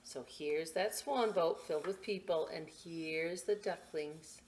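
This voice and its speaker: A woman hosting a children's story time and craft demonstration, chatting to young listeners in a playful, expressive, informal way with asides. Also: fairly low pitch, takes it slowly, a little hiss or hum. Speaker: library story time host reading picture books and showing a craft.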